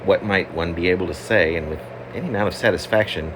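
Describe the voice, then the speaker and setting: A man reading his own poem as a wry, halting, digressive literary monologue. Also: deep voice. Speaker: poet reading own work. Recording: clearly noisy, steady background hum.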